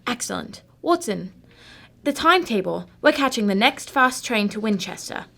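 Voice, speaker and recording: A woman's voice: a little high, big pitch swings, moderate pace, creaky, bright, forceful tone, heavily acted. A woman acting a shrewd, unflappable female detective in a radio drama, formal, composed and condescending. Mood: brisk, probing.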